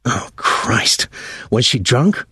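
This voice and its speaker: A man's voice: mid-range voice, very animated, slow pace, bright, forceful tone, husky, dry recording, pitched above the performer's own voice, heavily acted. Man acting irritable male story character, roused from sleep, terse, disbelieving, audiobook dialogue, dry sound. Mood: irritated, disgusted. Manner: curt, disgusted, disbelieving.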